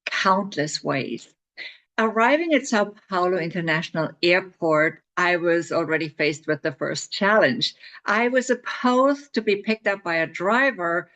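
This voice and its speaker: A woman's voice: lowish voice, fairly bright tone. Chatty woman author telling her own stories. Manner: chatty, laughs often, restarts with repeated words. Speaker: author promoting her book. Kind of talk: casual spoken storytelling to camera.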